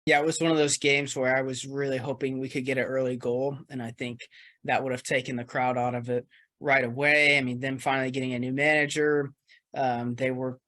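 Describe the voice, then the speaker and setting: Even-toned man analysing football. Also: mid-range voice, brisk. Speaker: podcast panellist. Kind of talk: casual match analysis.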